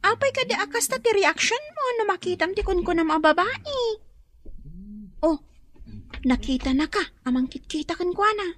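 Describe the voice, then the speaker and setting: Very high, lively Ilocano child. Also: female voice, normal speed, long pauses. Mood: teasing. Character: young child flower seller, teasing companion. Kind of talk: Ilocano radio drama acting.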